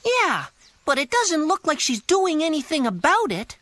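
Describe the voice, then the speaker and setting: Squeaky, boastful little cartoon cricket. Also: female voice, sing-song, moderate pace, a bit bright. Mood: matter-of-fact. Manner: boastful, theatrical. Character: vain, chirpy little cricket who loves singing. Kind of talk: animated children's cartoon acting. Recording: music bed behind the voice.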